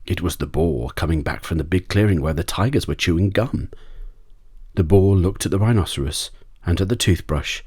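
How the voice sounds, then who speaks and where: A man narrating a children's audiobook as a soft, measured ASMR bedtime story. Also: deep voice, slightly rough, no echo, studio quality.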